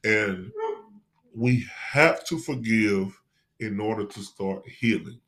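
A man's voice: lowish voice, takes it slowly, fairly bright tone. A man in a motivational panel conversation, speaking emphatically and a little preachily, repeating key phrases to drive them home.

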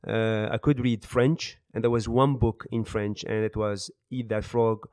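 A man in his thirties from Iraq and the Netherlands, answering interview questions in a halting, word-searching way. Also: lowish voice, fairly even intonation, darkish tone, dry recording, studio quality. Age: 30s. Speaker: interview guest, author and business speaker.

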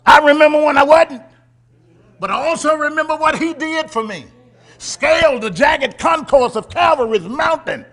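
High-voiced man a fiery sermon. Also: moderate pace. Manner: whoops and shouts scripture, then drops to low murmurs, calls out to the congregation. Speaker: preacher.

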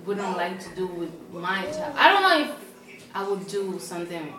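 A young Dominican woman chatting as a podcast guest, pausing often with "um" and "like". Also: medium pitch, expressive, crowd noise. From Dominican Republic. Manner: hesitant, fills pauses with "um" and "like". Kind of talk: casual chat. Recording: roomy, distant, voices behind.

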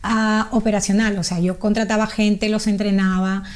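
A woman's voice: mid-range voice, flat delivery, moderate pace, a little mellow. A middle-aged Peruvian woman with a Peruvian Spanish accent, a guest chatting casually in an interview, with frequent fillers and restarts. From Peru.

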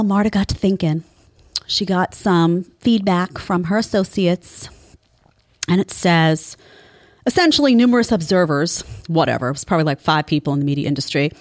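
Sarcastic woman commentary host, animated. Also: fairly low pitch. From Italian family background. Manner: sarcastic, incredulous, emphatic. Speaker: show host. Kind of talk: opinion commentary monologue.

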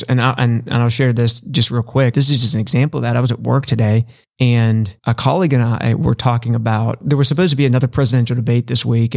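A man's voice: mid-range voice, a little mellow. Earnest man in casual podcast talk. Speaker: podcast co-host. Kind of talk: casual discussion.

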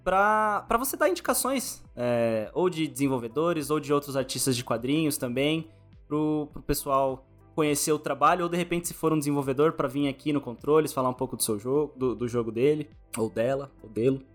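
A man's voice: mid-range voice, fairly quick, soft background music. A man with a Brazilian accent, hosting a podcast and chatting casually with a guest. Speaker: podcast host. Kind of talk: casual interview chat.

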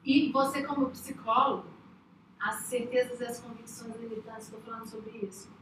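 A woman's voice: on the high side, steady tone, moderate pace, noisy background. A woman hosting, giving an emphatic motivational faith talk straight to her listeners. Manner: emphatic, exhorting the listener directly. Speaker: host. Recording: echoey room, voice from far off.

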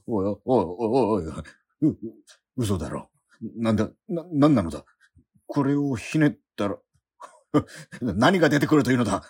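An actor playing a struggling painter in a radio drama, muttering to himself and stammering when startled. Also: male voice, mid-range voice, big pitch swings, normal speed, pauses often, darkish tone, slightly rough. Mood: panicked. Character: struggling unsold painter, man, puzzled and easily startled. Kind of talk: first-person radio drama, narrating and acting.

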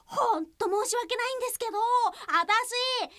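Very high-pitched, giggly brash young idol. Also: female voice, unhurried, no echo, studio quality, heavily acted. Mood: giggly, breezy. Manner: breezy slangy talk with frequent loud giggles. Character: brash, giggly young female underground idol turned guide helper. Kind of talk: comedic radio drama acting.

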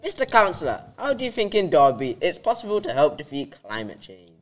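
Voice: mid-range voice, very animated, brisk, lightly acted. A young adult playing a probing interviewer in an amateur radio drama. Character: probing interviewer hosting a climate debate.

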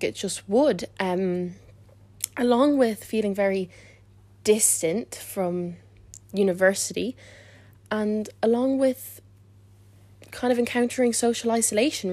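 A woman's voice: mid-range voice, a little mellow, dry recording. A nineteen-year-old woman student co-hosting a podcast, making an earnest, emphatic argument and repeating her points. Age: young adult.

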